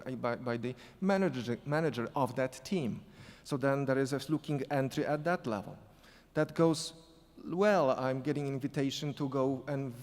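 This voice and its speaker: A man's voice: medium pitch, a little mellow. Man with an accent in a reverberant hall. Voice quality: non-native English accent. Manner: halting, many restarts and fillers. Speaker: sport psychology consultant on a panel. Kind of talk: storytelling conference panel talk. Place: conference hall.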